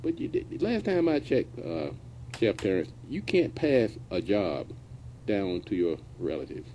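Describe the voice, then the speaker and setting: A man's voice: on the low side, very animated, a little slow, mellow, lo-fi sound, a little hiss or hum. A middle-aged man hosting a business radio show, talking in a folksy, casual way and now and then dropping verbs. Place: radio studio.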